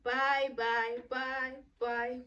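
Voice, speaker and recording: A woman's voice: high-pitched, level tone, very slow, bright, forceful tone, dull audio. A woman in her twenties talking to camera in a casual vlog, giving earnest, preachy life advice and repeating herself for emphasis. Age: 20s. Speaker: vlogger.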